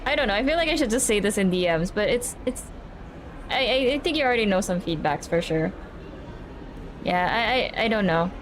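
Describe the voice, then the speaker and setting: A woman's voice: medium pitch, lively intonation, fairly quick. Rambling young woman streamer, noisy background. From Philippines. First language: Tagalog. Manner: rambling, restarts words, repeats "I, I". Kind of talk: casual live-stream chat with viewers.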